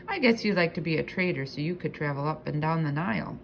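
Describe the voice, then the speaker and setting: A woman reads as a sentimental classroom hamster in a children's storybook. The little pet talks eagerly and earnestly in a slightly gravelly voice, with faint noise behind it. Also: sits low, lively intonation, fast talker. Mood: friendly, curious. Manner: earnest, wordy, repeats words for emphasis. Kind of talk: first-person children's storybook narration.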